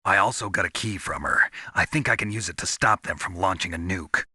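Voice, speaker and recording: An actor voicing a gruff, hardened soldier in a video game, terse and cynical, with a gravelly rasp. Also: male voice, low voice, brisk, sharp tone, no room sound, studio quality, heavily acted. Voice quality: gravelly, raspy.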